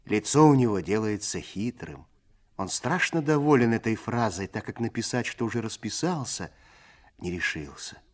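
A man narrating a literary story for a radio play in a measured, expressive storytelling manner. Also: fairly low pitch.

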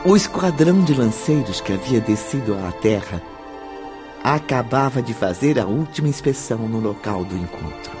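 A man with a Brazilian accent as the solemn storyteller of a radio drama, narrating in a formal, deliberate manner. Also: mid-range voice, lively intonation, natural voice. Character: solemn omniscient male storyteller. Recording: music clearly behind the voice.

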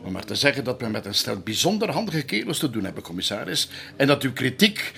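Curt Flemish security chief, mid-pitched. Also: male voice, sing-song, fast talker, gravelly, pushed and bright, exaggerated voice. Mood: indignant. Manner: curt, stiff, defensive. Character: curt, defensive male museum security chief. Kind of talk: naturalistic audio drama acting.

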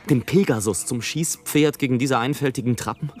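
An actor playing a mocking, indignant balloonist who declaims and mutters theatrical asides in a radio play. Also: male voice, mid-range voice, moderate pace, a little mellow, close to an everyday voice. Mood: scornful, indignant. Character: sardonic, indignant male balloonist watching people from above. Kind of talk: German radio play, literary monologue. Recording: music under the voice.

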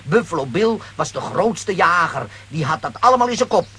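A man as a boastful, bumbling hero from a comic-strip radio play. His voice is bright and piercing with a rough husk, and it leaps up and down as he blusters and stammers. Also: high voice, big pitch swings, normal speed, exaggerated voice. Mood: indignant.

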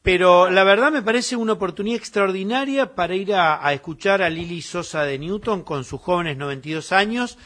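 A male morning radio host, speaking in a loose, conversational way. His voice sits fairly high for a man, and he easily trades jokes between announcements. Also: a little fast, few pauses. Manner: relaxed, chatty, jokes with co-host. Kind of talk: live morning radio hosting.

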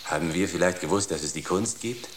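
An actor playing an obsessed visionary artist in a radio drama, with an emphatic, incantatory and repetitive way of talking. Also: male voice, deep voice, fairly quick, close to an everyday voice. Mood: defiant. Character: visionary, obsessed male artist, once a theoretician, rapturous. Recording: clear background noise.

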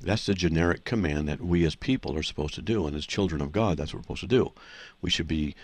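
A man's voice: deep voice, quick pace. A man hosting a call-in radio show, explaining scripture to callers in an assertive, teaching manner. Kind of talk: call-in radio Bible answers.

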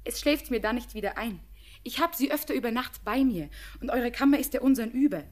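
An actress playing an innocent, tender young village girl in a classic radio drama, speaking lyrical, earnest with hushed phrases. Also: on the high side, lively intonation, brisk, close to an everyday voice. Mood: gentle, pleading. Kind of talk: classic German radio drama, poetic stage diction.

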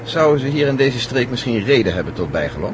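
A man's voice: mid-range voice, very animated, quick pace, natural voice. A man acting in a radio drama as a curious, wry friend, speaking conversationally with dry teasing. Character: Stevens, curious, wry male friend of an astronaut. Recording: noisy radio play, vehicle hum.

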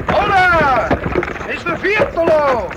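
A man's voice: very high voice, lively intonation, slow pace, full character voice. An actor playing a hearty, frank young nobleman knight in a period radio play. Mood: hearty, commanding. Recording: very noisy old radio drama recording.

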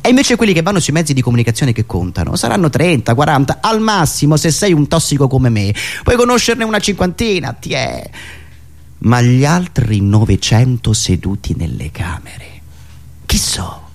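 A man with a Roman Italian accent performing an ironic, theatrical satirical monologue, swinging between muttering and loud declaiming. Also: mid-range voice, big pitch swings, normal speed. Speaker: satirical monologue performer and radio show host.

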